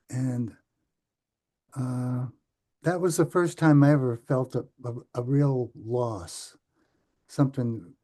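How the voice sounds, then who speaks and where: An American man in his seventies recalling his life as a podcast guest, speaking in a halting, thoughtful way that often trails off. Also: medium pitch, dark tone. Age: 70s. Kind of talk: reflective interview recollection.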